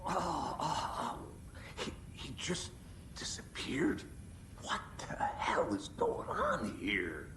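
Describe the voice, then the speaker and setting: An actor playing a blunt, world-weary, sardonic investigator in a cinematic video game. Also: male voice, fairly high pitch, huge pitch swings, extremely slow, a bit bright, reverberant, in a whisper, full character voice. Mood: shocked.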